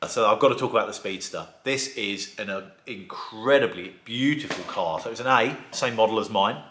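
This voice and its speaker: A man who restores classic cars, chatting casually in a vlog, with a croaky voice from a sore throat. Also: on the low side, moderate pace, fairly bright tone, reverberant, slight background noise. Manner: chatty, rambling, enthusiastic. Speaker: vlogger and car restorer.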